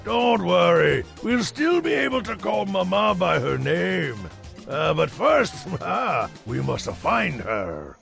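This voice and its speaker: A man voicing a high-pitched, theatrical doting cartoon father. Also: slow, gravelly, faint music behind, heavily acted. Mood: dramatic. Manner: grand, expressive, gently reassuring. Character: doting, theatrical father guiding his child. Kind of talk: animated film voice acting.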